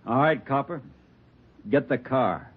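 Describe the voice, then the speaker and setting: A man's voice: lively intonation, unhurried, slightly rough. An actor playing a crazed male bomber with an American accent, curt and bullying, in a 1940s radio crime drama. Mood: cocky, commanding. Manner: curt, bullying, slangy commands. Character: crazed, menacing male criminal bomber holding police hostage. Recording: muffled, noisy 1940s radio recording, rumble.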